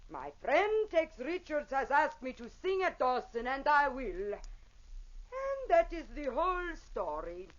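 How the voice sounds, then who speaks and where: An actress playing a famous, charming and imperious Italian opera singer with an Italian accent, in grand old radio drama style. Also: female voice, high voice, unhurried. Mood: proud, playful. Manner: theatrical, grandly expressive. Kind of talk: 1940s-50s radio drama acting. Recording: dull vintage radio recording.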